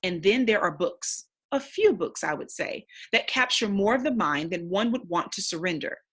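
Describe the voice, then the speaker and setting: Woman reading as a wise, wary old bookish man, husky. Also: mid-range voice, expressive, moderate pace, fairly bright tone. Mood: grave, musing.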